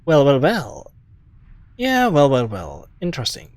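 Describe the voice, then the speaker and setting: A man's voice: fairly high pitch, wildly swooping pitch, measured pace, a little mellow, dry recording. A man giving casual, playful game commentary, reacting in short murmured remarks on a close mic. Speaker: gaming video host.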